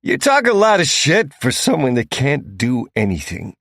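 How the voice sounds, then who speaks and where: A man's voice: medium pitch, huge pitch swings, normal speed, fairly bright tone, no echo, studio quality. Man doing a sneering cruel male thug. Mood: taunting. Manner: taunting, barking.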